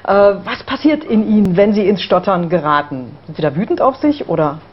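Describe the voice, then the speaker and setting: A woman hosts a studio talk show, firing off questions at a brisk clip in a fairly low voice. She sounds engaged and keeps the conversation moving. The broadcast sound is slightly dull, with a faint hiss behind it. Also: sing-song. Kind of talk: studio interview.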